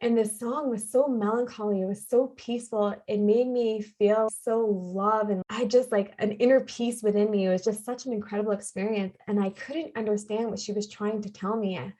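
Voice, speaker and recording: A woman's voice: mid-range voice, steady tone, moderate pace, few pauses, warm tone. Earnest woman telling her story. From Canada. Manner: earnest, heartfelt, repeats phrases for emphasis. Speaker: podcast guest. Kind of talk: personal storytelling in a conversation.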